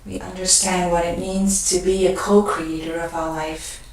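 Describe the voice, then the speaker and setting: A woman leading a guided meditation, speaking gently and unhurriedly in short phrases with pauses between them. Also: on the low side, flat delivery, slight background noise. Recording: very echoey room, distant mic.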